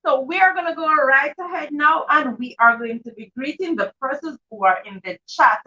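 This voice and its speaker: High, bright-voiced woman hosting enthusiastically. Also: moderate pace. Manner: enthusiastic, repeats phrases. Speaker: host of children's live read-aloud show. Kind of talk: livestream chat, greeting viewers. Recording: dull, distant livestream microphone.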